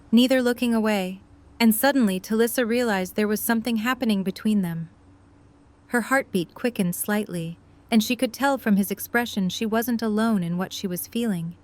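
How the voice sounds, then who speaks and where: A woman reading scripted story narration for an audio drama. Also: medium pitch.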